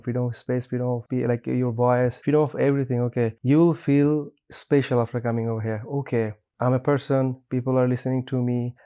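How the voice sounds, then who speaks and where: A man's voice: medium pitch, smooth, muffled recording. Tired young man from Bangladesh. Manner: earnest, repeats himself for emphasis. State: a little tired. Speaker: interview guest. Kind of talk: casual interview.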